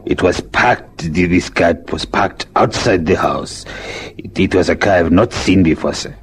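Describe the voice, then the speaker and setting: An actor with a South African accent playing a cautious, deferential servant in a radio crime drama, halting and formal. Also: male voice, sits low, slight background noise. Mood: deferential, nervous. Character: deferential, cautious long-serving African houseboy under questioning.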